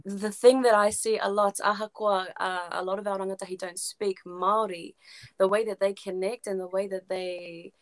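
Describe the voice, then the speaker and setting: A young New Zealand woman speaks from her bedroom over a video call. Her voice is mid-pitched and sounds dry and close. She speaks with enthusiasm. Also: level tone, normal speed, dry recording. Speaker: panel guest. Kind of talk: casual discussion, mixing Māori and English.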